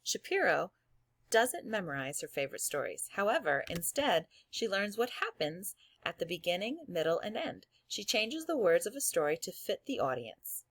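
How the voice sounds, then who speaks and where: A woman's voice: medium pitch, big pitch swings, normal speed, fairly bright tone, no echo. A woman schoolteacher reading aloud and giving her class encouraging, step-by-step instructions in a recorded lesson. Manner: addresses pupils directly, encouraging, step-by-step. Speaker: elementary school teacher.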